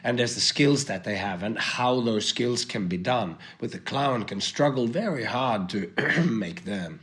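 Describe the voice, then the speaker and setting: A man with a cold and a hoarse voice, thinking aloud as a guest in a podcast conversation, with many restarts. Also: mid-range voice, lively intonation, measured pace, a bit bright. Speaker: guest, performer.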